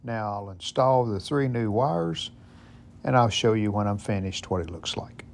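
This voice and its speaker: A man's voice: on the low side, expressive, a little slow, gravelly. An American man narrating hands-on repair work in a folksy, plainspoken way, thinking aloud as he goes. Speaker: host of a radio restoration channel.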